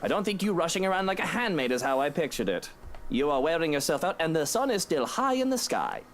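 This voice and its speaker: A man's voice: fairly high pitch, very animated, quick pace, faint music behind. An actor playing a proud, wealthy husband in a visual novel game, uneasy with his doting wife. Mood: coaxing.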